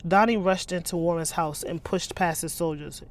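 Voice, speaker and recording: A woman with an American accent reading urban fiction aloud as its author, breaking into animated, slangy commentary. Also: fairly low pitch, moderate pace. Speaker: author and host reading their own novel aloud.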